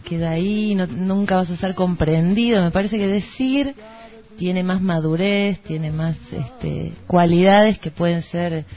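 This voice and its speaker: A woman's voice: on the low side, unhurried. A woman with an Argentine Rioplatense accent talking conversationally as co-host of a literary radio show. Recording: dull lo-fi radio recording. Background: background music.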